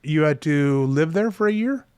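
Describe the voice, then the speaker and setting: Slow-asking man, dry sound. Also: fairly high pitch, lively intonation, a little mellow, clean tone, no room sound. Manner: slow, drawn-out questioning. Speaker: podcast host. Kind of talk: casual interview questions.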